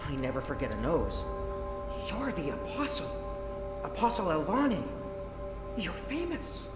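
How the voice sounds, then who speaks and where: An actor voicing a nervous male engineer who stammers and talks in a flustered, excitable way. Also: fairly high pitch, unhurried. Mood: starstruck. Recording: roomy game audio with reverb and music.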